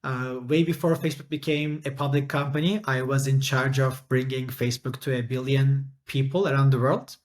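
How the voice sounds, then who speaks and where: A middle-aged man from Turkey answers interview questions at an even, steady pitch. He speaks carefully and thoughtfully, pausing often to fill gaps with ums and uhs. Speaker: startup founder and CEO, interview guest.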